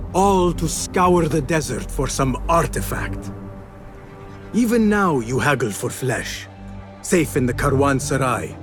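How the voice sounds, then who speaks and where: A man playing an earnest, determined young assassin apprentice in a video game, speaking in a formal, measured, restrained way. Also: on the high side. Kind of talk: dramatic video game acting.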